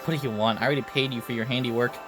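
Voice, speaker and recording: A man's voice: medium pitch, fairly even intonation, rapid-fire, over music, voice pushed down, natural voice. A man voicing a proud female vampire phantom thief from a game, coy, aloof and theatrical. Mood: proud, prickly.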